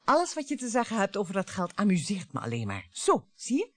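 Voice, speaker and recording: A bitter middle-aged wife in a radio drama. She is mocking and theatrical, taunting her husband with a lively, swooping delivery. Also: mid-range voice, brisk, dry recording. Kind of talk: radio play acting.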